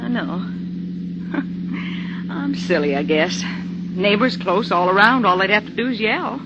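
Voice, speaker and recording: An actress in a 1950s radio drama playing an anxious, soft-hearted middle-aged wife and mother. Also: medium pitch, animated, unhurried. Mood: worried. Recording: dull, noisy old radio recording.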